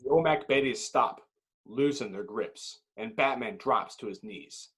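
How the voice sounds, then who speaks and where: A man's voice: medium pitch, a little slow, fairly bright tone. A man narrating a screenplay's action with intense, clipped dramatic phrasing. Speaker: narrator reading stage directions.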